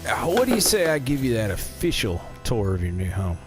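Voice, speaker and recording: A man's voice: mid-range voice, wildly swooping pitch, brisk. An actor playing a folksy, genial carnival owner in an audio drama, talking in a salesman's patter. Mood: friendly, chatty. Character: folksy, smooth-talking carnival owner, a much-married man. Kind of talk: scripted audio drama acting. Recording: music behind the voice.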